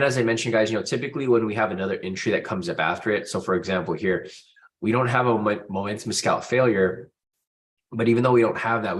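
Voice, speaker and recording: Man, deep low pitch, stuffy congested nose, casual live stream host, informal, talks straight to viewers. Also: fairly bright tone. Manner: informal, addresses viewers directly. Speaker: host of a live trading room.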